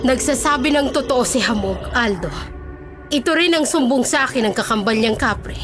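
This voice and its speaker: Woman acting high-pitched, urgent witness. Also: lively intonation, moderate pace, music in the background, natural voice. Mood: urgent warning. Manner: urgent, exclaiming. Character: alarmed, urgent witness reporting betrayal and supernatural fire. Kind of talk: horror audio drama acting.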